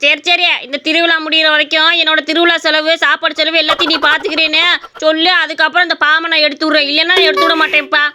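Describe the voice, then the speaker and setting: Woman playing a very high-pitched cheeky village boy. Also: little pitch movement, quick pace, sharp tone, slight background noise. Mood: bargaining, bossy. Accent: Tamil Nadu village. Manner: wheedling, bargaining, colloquial village speech. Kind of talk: animated comedy story voice acting.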